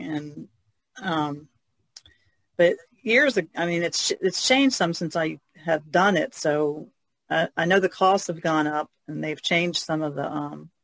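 A woman's voice: low-pitched, no echo. A woman chatting casually as a panelist on a podcast.

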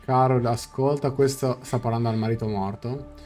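A man's voice: mid-range voice, fairly even intonation, moderate pace. A young adult Italian man streaming, translating game dialogue aloud and correcting himself as he goes. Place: small indoor room at home. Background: soft game music.

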